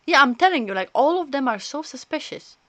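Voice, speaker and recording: A woman's voice: high-pitched, expressive, brisk, pushed and bright, slight background noise. A woman streaming a game, commenting casually in a chatty, rambling, hesitant way. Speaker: livestreamer.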